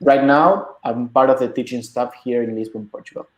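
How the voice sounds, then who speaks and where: A man's voice: mid-range voice, moderate pace. Man in his thirties, echoey room. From Argentina. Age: 30s. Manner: relaxed, talks while setting up slides. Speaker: bootcamp instructor. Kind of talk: presenting a coding webinar.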